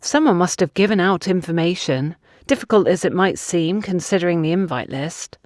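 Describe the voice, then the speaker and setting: A woman's voice: on the low side, lively intonation, a little mellow, no room sound, studio quality, natural voice. Tactful, guarded younger woman played by a narrator. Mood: musing. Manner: measured, careful, polite.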